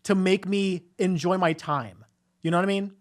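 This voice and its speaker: A man's voice: high-pitched, normal speed. A man hosting a podcast, casually discussing films in a rambling way with frequent filler phrases and hesitations. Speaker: podcast host reviewing films.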